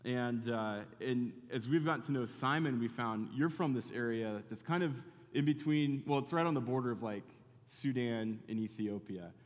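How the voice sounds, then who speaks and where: A man's voice: mid-range voice, normal speed. A man who preaches the way he talks, casually, with many fillers and restarts. His voice sits mid-range, and the long reverb of a church hall trails after every phrase. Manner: conversational, filler-heavy, restarts. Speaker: pastor. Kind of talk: sermon with casual interview. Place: church in Phoenix, Arizona.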